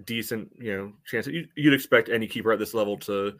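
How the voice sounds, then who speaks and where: Low-pitched man, casual sports podcast co-host. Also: a bit bright. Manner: halting, peppers talk with "you know". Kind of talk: casual sports analysis chat.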